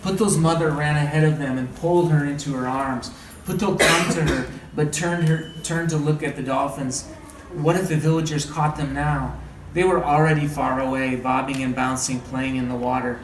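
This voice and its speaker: A man reading a story aloud as a teacher, with dramatic, deliberate phrasing and questioning asides. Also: on the high side, steady tone. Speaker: read-aloud teacher and trainer. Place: indoor room, training session. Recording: echoey room, distant mic, background noise.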